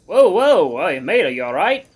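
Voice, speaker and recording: A man's voice: sits high, very animated, quick pace, fairly bright tone, breathy edge, voice pushed up, heavily acted. A man voicing an easygoing animal-man character in a visual novel, with an attempted Australian accent and a blokey, concerned manner.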